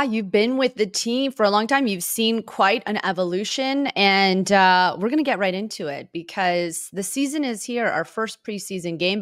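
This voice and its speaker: A woman's voice: mid-range voice, a bit bright, no room sound. Chatty woman hosting, close dry sound. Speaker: sports show host interviewing a guest. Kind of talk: casual sports interview chat.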